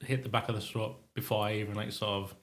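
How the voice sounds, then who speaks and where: A man co-hosting a podcast in casual chat, sniffly, talking in a halting, rambling way with many restarts. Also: lowish voice, little pitch movement. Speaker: podcast co-host. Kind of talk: casual chat while tasting hot sauce.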